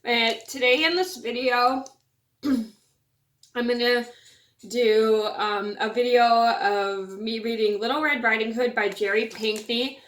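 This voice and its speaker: A woman's voice: on the high side, fairly even intonation, takes it slowly, a bit bright. A woman with a scratchy voice hosting her channel and reading a fairytale aloud, with chatty, apologetic asides. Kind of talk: storybook read-aloud.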